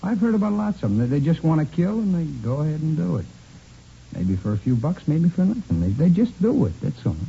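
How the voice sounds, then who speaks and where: An actor playing a talkative, cocky murder suspect in a vintage radio crime drama, casual and rambling, with repeats and restarts. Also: male voice, fairly high pitch, sing-song, fairly quick, creaky, mellow, husky, full character voice. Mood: chatty, self-satisfied. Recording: muffled, noisy vintage radio recording.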